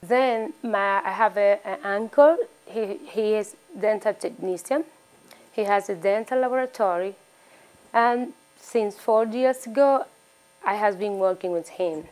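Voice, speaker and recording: Woman, halting interview answers. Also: mid-range voice, darkish tone, rough recording. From Dominican Republic. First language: Spanish. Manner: halting, with restarts and repeated words.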